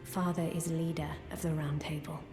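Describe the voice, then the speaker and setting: An actress playing a composed, courteous young female warrior in a fantasy game, speaking in a measured, formal, understated way. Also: low-pitched, little pitch movement, reverberant, long reverb tail, music in the background, natural voice. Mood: calm, polite. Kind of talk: scripted fantasy game dialogue.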